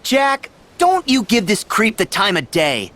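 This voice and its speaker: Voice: mid-range voice, wildly swooping pitch, a little slow, a bit bright. An actor playing an earnest, impulsive teenage boy hero in a video game. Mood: indignant. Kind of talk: video game cutscene acting.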